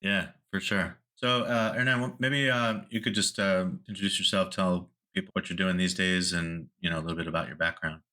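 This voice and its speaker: A man's voice: low voice, steady tone, a little fast, hardly any pauses, pushed and bright. A man hosting a podcast interview, talking in a loose, rambling way with many fillers and restarts. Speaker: podcast host.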